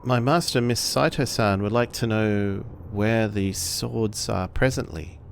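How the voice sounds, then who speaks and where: A man's voice: lowish voice, a little slow, gravelly, slight background noise. A man playing a polite, deferential samurai interpreter who speaks formally in a tabletop game. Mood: respectful. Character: courteous samurai interpreter speaking for his silent mistress.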